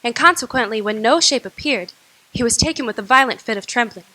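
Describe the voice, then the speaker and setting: A woman narrates a classic tale for a radio play. Her voice is slightly high and bright. She tells the story in a steady, measured way. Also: poor audio.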